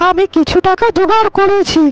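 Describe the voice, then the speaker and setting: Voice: extremely high pitch, a little fast, lo-fi sound. A performer voicing a humble, worried older village uncle in a cartoon, pleading deferentially. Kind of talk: Bengali cartoon character dialogue.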